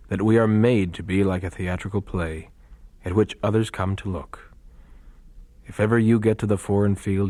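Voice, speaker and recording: An actor playing a thoughtful, devout young missionary in a radio drama, speaking earnestly and reflectively. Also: male voice, sits low, a little mellow, dry recording, close to an everyday voice. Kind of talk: radio drama acting, letter monologue.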